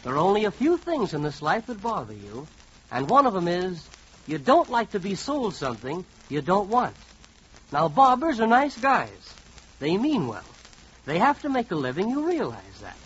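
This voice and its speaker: A man's voice: high voice, huge pitch swings, moderate pace, a bit bright, husky. A man narrating a 1940s radio comedy in a wry, conversational, second-person style. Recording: muffled, faintly noisy 1940s radio recording.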